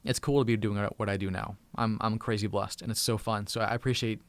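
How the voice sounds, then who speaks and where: A man's voice: on the low side, fairly even intonation, fairly quick. Upbeat man chatting on a live stream. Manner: upbeat, thankful, restarts and repeats words often. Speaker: tech channel host. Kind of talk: casual live stream chat. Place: home room.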